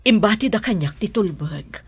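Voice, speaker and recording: A woman playing a worried, gentle mother of grown children, with a husky, breathy voice and an Ilocano accent, in a radio drama. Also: mid-range voice, expressive, moderate pace. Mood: reassuring.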